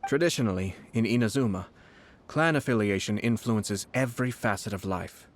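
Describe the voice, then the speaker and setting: An actor voicing a composed, courteous nobleman official in a video game, formal, measured and subtly sly. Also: lowish voice, natural voice. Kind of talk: video game voice acting, scripted dialogue.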